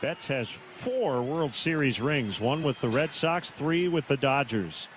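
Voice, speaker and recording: A man doing live baseball play-by-play on the radio in measured, terse calls, with pauses between them. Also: mid-range voice, expressive, noisy background. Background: outdoor ballpark ambience.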